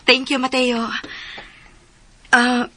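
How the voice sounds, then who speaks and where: Shy polite girl in her youth, radio drama, highish bright voice. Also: flat delivery, very slow, a little hiss or hum, full character voice. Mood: curious. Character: shy, polite young woman visitor.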